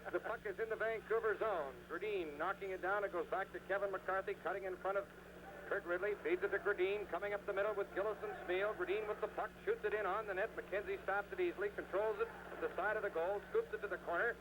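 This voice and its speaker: Male hockey commentator, high-pitched and excitable, live 1970s radio sound with clear background noise. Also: fast talker. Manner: excitable, rising on scoring chances. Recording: noisy vintage 1970s radio broadcast.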